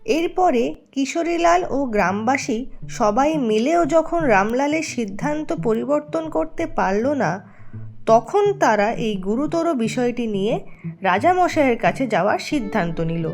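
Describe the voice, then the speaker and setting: High-pitched woman narrating a story. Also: expressive, unhurried, a little mellow, soft background music.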